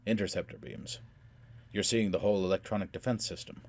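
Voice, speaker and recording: A man playing a blunt, defiant, hot-tempered man in an audiobook, with terse, forceful, tense delivery. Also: deep voice, animated, a little fast, creaky, slight background noise. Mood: grim.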